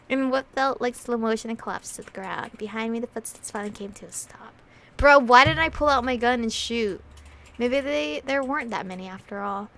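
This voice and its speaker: A woman's voice: a little high, gravelly, a bit bright. A woman doing a casual visual novel playthrough, reading story text aloud and reacting with slangy, sweary commentary. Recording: music playing behind the voice. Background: background music.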